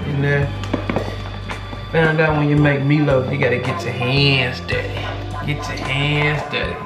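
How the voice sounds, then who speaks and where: A man, chatting casually to the camera while he cooks in his home kitchen. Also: medium pitch. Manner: laid-back, chatty, drawn-out filler words. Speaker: vlogger cooking at home. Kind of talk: casual cooking vlog, talking to camera. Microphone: camera on the counter, several steps away. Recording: roomy kitchen echo, distant camera mic, loud background music.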